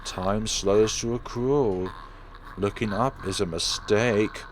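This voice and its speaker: A man's voice: fairly low pitch, takes it slowly. A man reading horror visual novel narration aloud dramatically for a let's play, with noise in the recording.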